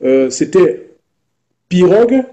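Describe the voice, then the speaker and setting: A man in his thirties from Gabon, speaking as an interview guest in a measured way with frequent hesitations. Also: high-pitched, very animated, mellow. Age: 30s. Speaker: entrepreneur guest. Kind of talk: interview answers.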